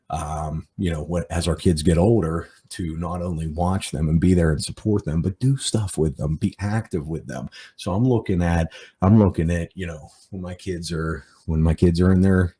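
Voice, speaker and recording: Very deep-voiced man in his forties. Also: dark tone, husky. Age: 40s. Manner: rambling, many fillers and repeated words. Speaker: podcast host. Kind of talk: casual reflective monologue.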